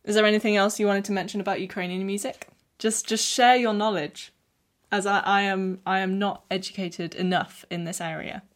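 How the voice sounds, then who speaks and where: A young woman hosting a podcast interview, chatty and encouraging, reacting with warm enthusiasm. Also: mid-range voice, fairly even intonation, a little fast. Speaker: podcast host.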